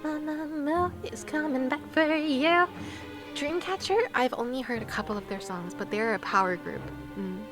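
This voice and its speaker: Playful, high-voiced young woman streaming. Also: expressive. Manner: playful, giggly, quick to exclaim. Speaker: livestream host. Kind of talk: casual chat with stream viewers over a game. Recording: game music behind the voice.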